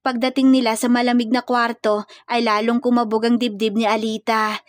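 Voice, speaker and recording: A woman's voice: fairly high pitch, level tone, moderate pace, no room sound, studio quality. A woman storyteller reading scripted romance fiction in a dramatic narrative style.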